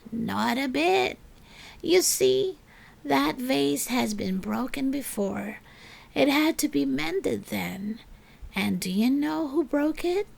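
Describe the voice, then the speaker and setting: A woman voicing a calm, kindly older woman neighbour, gentle, soothing and encouraging, in a children's storybook. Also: high-pitched, takes it slowly, gravelly, pitched above the performer's own voice, full character voice. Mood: calm, reassuring. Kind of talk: children's storybook read-aloud.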